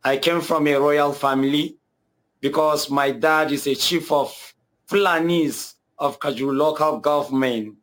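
A man's voice: a little high, fairly even intonation, measured pace, fairly bright tone. A young man with a Nigerian accent telling his own story earnestly, hesitating and repeating himself often. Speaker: guest telling his conversion testimony. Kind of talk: personal testimony.

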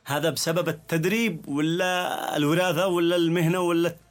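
A man's voice: fairly high pitch, steady tone, fairly quick. A man with a Yemeni accent hosting a conversational arts interview. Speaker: interview show host.

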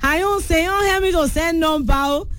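A woman's voice: very high voice, rapid-fire, a bit bright, slight background noise. A Nigerian woman hosting a radio phone-in game, teasing her callers playfully. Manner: teasing, playful, mixes English and Yoruba. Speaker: radio call-in host.

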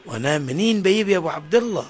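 A man playing a poor, harried father of nine who complains loudly in a comedy sketch. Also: high voice, lively intonation, very fast. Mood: lamenting. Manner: loud, exasperated complaining.

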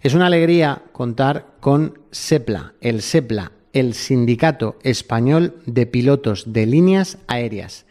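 A man's voice: mid-range voice, animated, a little slow, few pauses, dark tone. A man from Spain hosting a radio travel show, jokey and laughing often as he chats with guests. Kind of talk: lively radio chat.

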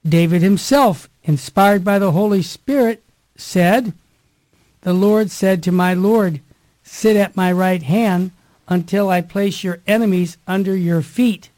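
A man hosting a live radio show, with a loud voice, also reading scripture aloud. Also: sits high. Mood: solemn reading. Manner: loud voice, reads scripture slowly and solemnly.

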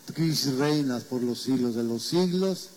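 A man serving as priest, reciting the prayers of the Mass in a slow, measured, solemn way. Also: medium pitch, warm tone, low-quality recording.

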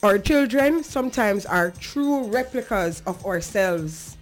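A woman's voice: mid-range voice, animated, takes it slowly, soft background music. A woman with a Jamaican accent talking earnestly and conversationally as a talk show host, emphatic and now and then slipping into patois.

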